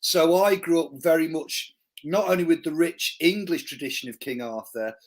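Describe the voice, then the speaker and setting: A man's voice: on the high side, normal speed. A man from North Wales hosting a live podcast, talking in a reflective, storytelling way. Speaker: podcast host.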